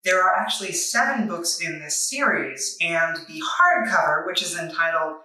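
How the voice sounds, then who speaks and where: Female reviewer, bright and wry, recorded in a very echoey room a bit away from the mic. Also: lowish voice, animated, moderate pace. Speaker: comic book reviewer, video host. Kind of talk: semi-scripted review narration. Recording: echoey room, distant microphone.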